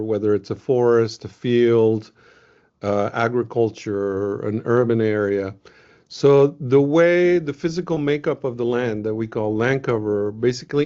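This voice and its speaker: A man's voice: medium pitch, a little mellow. A man from Venezuela, a scientist presenting results in a casual webinar, talking loosely with many ums and restarts. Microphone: video call. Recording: muffled video-call audio.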